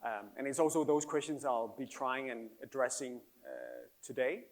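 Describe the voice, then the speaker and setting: A man with a Danish accent, a happiness researcher giving a public talk to an audience in an earnest, explanatory way with gentle humor. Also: medium pitch, very animated, normal speed. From Denmark.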